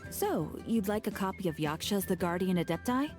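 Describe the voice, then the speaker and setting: An actress playing a chatty, wry bookshop clerk in a video game cutscene. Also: female voice, mid-range voice, animated, a little fast, over music, natural voice.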